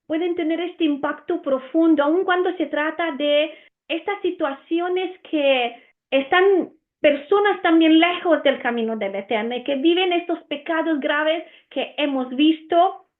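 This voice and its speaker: A woman's voice: high-pitched, measured pace, phone-quality sound. A woman from Romania with an Italian accent, whose first language is Romanian, teaching the Bible earnestly with frequent "eh" fillers.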